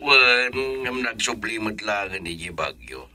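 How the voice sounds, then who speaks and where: An actor with a Filipino accent playing a soft-spoken, hospitable older fatherly man in a radio drama. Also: lowish voice, big pitch swings, takes it slowly, a crowd in the background. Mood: subdued. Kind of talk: Ilocano radio drama acting.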